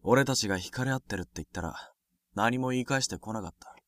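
A young man playing a bitter, jealous high school boy in a Japanese voice drama, with a cutting, taunting way of talking. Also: fast talker, a bit bright, dry recording, clean studio sound, lightly acted. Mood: cool, provocative.

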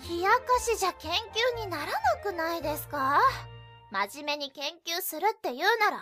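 Woman playing a high, prim, exasperated schoolgirl. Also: normal speed. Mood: skeptical. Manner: polite but scolding, indignant. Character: prim, exasperated high school girl, blunt junior club member. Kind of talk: Japanese youth romantic comedy voice drama. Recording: light music behind.

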